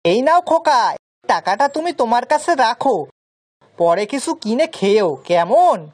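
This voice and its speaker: A woman's voice: on the high side, sing-song, normal speed, a little mellow. A woman voicing a simple, kind-hearted village man in a children's cartoon, earnest and folksy. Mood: kindly.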